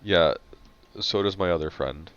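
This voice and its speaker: A man co-hosting a podcast, talking in a laid-back, dry, halting way. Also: deep voice, fairly bright tone. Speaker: podcast co-host. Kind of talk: casual chat. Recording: dull lo-fi mic sound.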